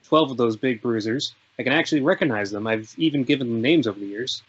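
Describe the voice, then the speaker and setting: A man's voice: mid-range voice, quick pace, close to an everyday voice. A man acting a self-effacing, nature-loving loner, earnest and hesitant, at a script table read. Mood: apologetic, earnest. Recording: dull audio with faint noise, clicks.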